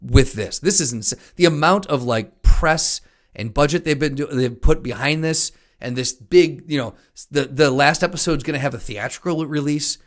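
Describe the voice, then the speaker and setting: A man co-hosting a podcast in casual movie chat, venting with profanity and exasperation, often repeating himself for emphasis in a halting way. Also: on the high side. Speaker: podcast co-host.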